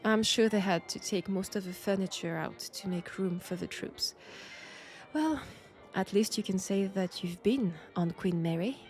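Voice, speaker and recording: A woman's voice: mid-range voice, darkish tone, faint music behind, natural voice. A woman playing a mysterious, flirtatious Frenchwoman in 1940s England, speaking with a French accent in an intimate, coy roleplay audio drama. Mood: curious, teasing. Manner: unhurried, coy, conversational with pauses.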